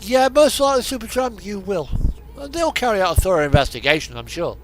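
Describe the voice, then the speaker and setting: A man's voice: sits high, big pitch swings, quick pace, slight background noise. A man giving casual, rambling gameplay commentary in a hesitant, meandering way over background music. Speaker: streamer hosting a truck simulator video. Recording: music playing behind the voice. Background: radio music, truck simulator game sound.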